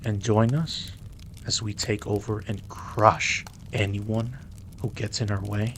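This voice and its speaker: A man acting as a dominant, cocky mafia boss in an intimate audio roleplay, smooth-talking, teasing and possessive. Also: lowish voice, little pitch movement, takes it slowly, a bit bright, slight background noise. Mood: seductive, persuasive.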